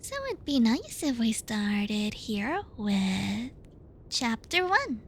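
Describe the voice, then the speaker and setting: A woman acting a high-pitched, bratty tsundere schoolgirl. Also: big pitch swings, slight background noise, exaggerated voice. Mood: halting, defensive. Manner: halting, pouty, defensive, stumbles over words. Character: bratty tsundere young female student, flustered, teasing and bossy. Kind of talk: ASMR roleplay addressed to the listener.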